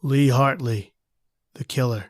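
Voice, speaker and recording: A man's voice: medium pitch, slow, close to an everyday voice. Man playing a sardonic slangy radio-thriller tough guy. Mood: grim. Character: John Taylor, folksy sardonic tough guy, thought a killer. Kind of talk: old-time radio thriller acting.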